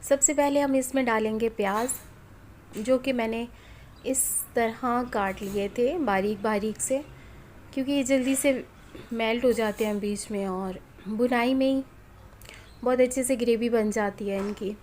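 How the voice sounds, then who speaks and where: A woman's voice: on the high side, a little slow, darkish tone. A woman in her thirties from Pakistan, with a sore, strained throat from flu, chatting casually through a home cooking voice-over and talking straight to viewers. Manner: chatty, homely, addresses viewers directly. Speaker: cooking vlogger. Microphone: voice-over recorded at home. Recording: faint background noise at home. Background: street and household noise.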